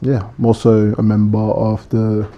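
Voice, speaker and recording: A man in his twenties from Samoa, a podcast guest chatting casually with many pauses and fillers. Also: fairly low pitch, monotone, lo-fi sound. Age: 20s. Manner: easygoing, laughs often, many ums.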